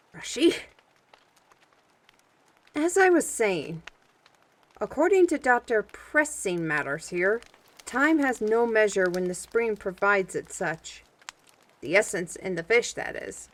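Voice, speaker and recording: Woman acting a cheeky, excitable fantasy adventurer. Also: medium pitch, slow, long pauses. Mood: exasperated. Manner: teasing, wordy, folksy phrasing. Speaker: voice actor in audio drama. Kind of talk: fantasy audio drama acting.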